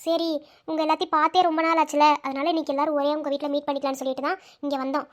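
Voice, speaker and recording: A woman voicing an evasive young husband who keeps brushing off questions, in a lowered voice. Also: little pitch movement, racing, close to an everyday voice. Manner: dismissive, brushing things off.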